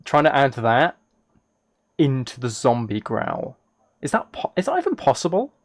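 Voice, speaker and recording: A man's voice: medium pitch, huge pitch swings, moderate pace. A man live streaming a game, rambling and thinking aloud as he chats casually with viewers. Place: indoors at a computer, keyboard clicks behind.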